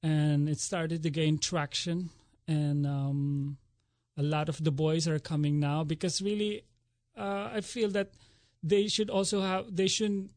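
A man in his forties, originally from the Philippines, talks as a ballet director being interviewed. His voice sits slightly high, and the room sounds dry and close. He speaks earnestly and often restarts his sentences. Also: flat delivery, unhurried, a little mellow, dry recording. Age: 40s. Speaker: ballet school artistic director. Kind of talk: interview guest.

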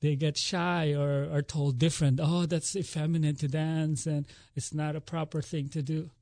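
A man's voice: a little high, little pitch movement, darkish tone, dry recording. Earnest Filipino-born man in his forties. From Philippines. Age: 40s. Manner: earnest, halting with restarts. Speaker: ballet school artistic director. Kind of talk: interview guest.

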